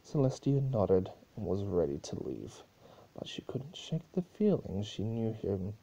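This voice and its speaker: A man's voice: fairly low pitch, sing-song, a little slow, creaky, mellow, husky, highs cut off. A man giving an amateur dramatic reading of a story, now and then stumbling over his words. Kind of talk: dramatic scripted narration.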